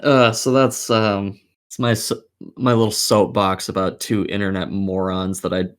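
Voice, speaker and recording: A man's voice: on the low side, level tone, moderate pace, clean tone. A man hosting a casual gaming podcast, opinionated and swearing freely as he talks. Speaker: podcast host.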